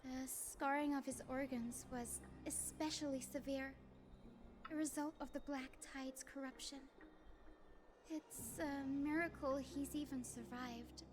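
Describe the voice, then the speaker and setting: A woman voicing a gentle, earnest young female healer in a video game, polite, soft and careful. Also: high voice, steady tone, a little slow, noisy background. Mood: earnest, concerned. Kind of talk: anime-style game voice acting.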